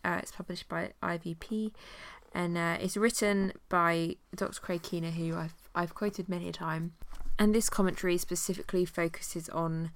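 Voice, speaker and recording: A woman's voice: fairly low pitch, fairly even intonation, moderate pace. A woman teaching an informal Bible study. She has a cold and sounds nasal, with many fillers as she reads passages aloud.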